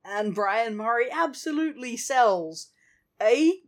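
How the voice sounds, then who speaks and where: A woman co-hosting a casual podcast about an animated film, speaking in a lively way and laughing easily. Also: on the high side, slow. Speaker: podcast co-host.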